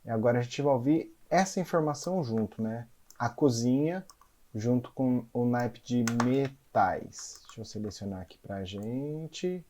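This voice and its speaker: A man's voice: mid-range voice, expressive, unhurried. A man, a conductor and music teacher, explains a big band score in a casual, explanatory way and keeps checking in with his listeners. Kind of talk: explaining a score in an educational concert video.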